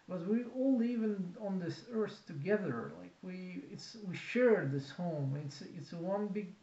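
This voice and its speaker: A man in his thirties with a Russian accent, talking unscripted to a webcam in a small office, halting with many fillers and restarts. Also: high voice, darkish tone. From Kazakhstan. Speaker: vlogger. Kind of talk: unscripted talk to camera. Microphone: webcam at desk. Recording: roomy, dull lo-fi webcam sound.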